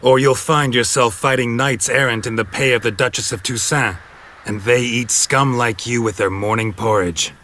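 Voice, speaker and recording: A man playing a world-weary, sardonic monster hunter in a video game, terse and deadpan, with a gravelly, husky voice. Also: medium pitch, moderate pace, a little hiss or hum, close to an everyday voice. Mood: sardonic warning.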